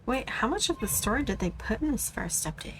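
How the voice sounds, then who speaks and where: A woman's voice: fairly high pitch, very animated, fairly quick, rough recording. A woman streaming a game, giving casual, playful live commentary and reacting aloud. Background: game music.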